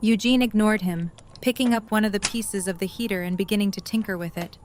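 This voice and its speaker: A woman's voice: mid-range voice, fairly quick, darkish tone, a little hiss or hum. A woman reading scripted third-person narration as the narrator of a story.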